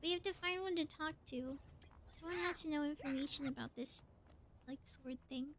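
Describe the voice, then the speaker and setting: A woman's voice: high voice, normal speed, fairly bright tone, a little hiss or hum. A woman livestreamer giving casual gameplay commentary, thinking aloud in a playful, exclamatory way. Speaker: livestreamer playing a game.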